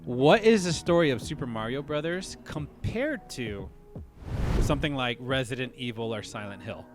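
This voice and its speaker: A man's voice: mid-range voice, animated, normal speed, over music. Man musing aloud on a podcast. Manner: thinks aloud, frequent restarts and "you know what I mean". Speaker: podcast co-host. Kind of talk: casual podcast discussion.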